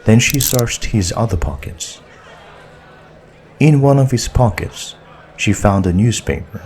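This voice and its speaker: Deep-voiced, slow-paced male audiobook narrator. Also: lively intonation, darkish tone, slight background noise. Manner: measured, deliberate storytelling. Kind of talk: scripted story narration.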